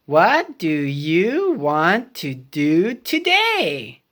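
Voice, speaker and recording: A woman voicing a caring pig from a children's picture book, gentle and questioning. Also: on the low side, huge pitch swings, very slow, voice pushed down, full character voice. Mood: concerned.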